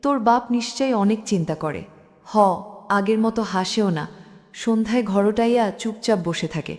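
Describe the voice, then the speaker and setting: A woman's voice: fairly high pitch, expressive, moderate pace, darkish tone, lightly acted. A woman voicing a troubled, caring young village friend in a cartoon, with a rural Bengali accent. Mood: worried, sorrowful. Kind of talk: cartoon drama dialogue in village dialect. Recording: reverberant recording.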